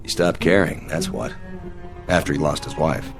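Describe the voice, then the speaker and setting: Seasoned low-voiced spaceship captain. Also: male voice, fairly even intonation. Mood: reflective. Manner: terse commands, measured explanations. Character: seasoned, firm but compassionate male spaceship captain. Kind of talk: audio drama acting. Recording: music bed under the voice.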